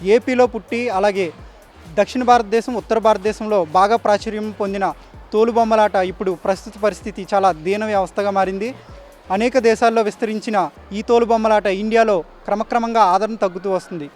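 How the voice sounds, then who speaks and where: A man's voice: high voice, steady tone, brisk, soft background music. A man reporting on location in the style of a news piece to camera. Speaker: field reporter.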